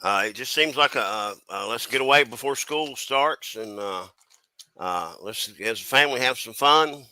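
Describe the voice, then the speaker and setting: A man from the US East Coast chatting casually as a stream panelist, giving his opinions in a halting, folksy way. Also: medium pitch, pushed and bright, no room sound. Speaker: panelist on a crime chat stream.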